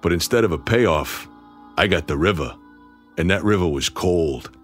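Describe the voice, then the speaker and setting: A man's voice: low voice, expressive, moderate pace, a bit bright, soft background music. A man acting a sardonic, menacing tough guy bent on revenge in a radio drama, terse and mocking. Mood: bitter taunting. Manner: terse, mocking, hard-boiled.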